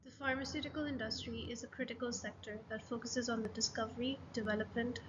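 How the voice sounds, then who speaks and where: A woman's voice: a little high, highs cut off, noisy background. Young woman reading slides in an echoey room. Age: young adult. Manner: even, read-aloud delivery with list-like pacing. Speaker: student presenter. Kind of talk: scripted presentation narration.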